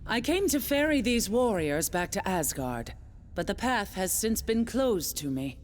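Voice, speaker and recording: Loyal warrior maiden from a fantasy game, formal, very melodic delivery, noisy behind. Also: female voice, mid-range voice, big pitch swings, unhurried. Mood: solemn, loyal. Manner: formal, respectful, theatrical. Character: loyal, steady warrior maiden serving Asgard. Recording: clear background noise.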